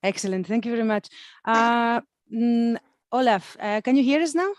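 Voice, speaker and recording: A woman's voice: fairly high pitch, expressive, moderate pace, no room sound, clean studio sound. A woman moderating an online event in an informal, chatty, encouraging way. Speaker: webinar moderator. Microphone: computer mic on video call.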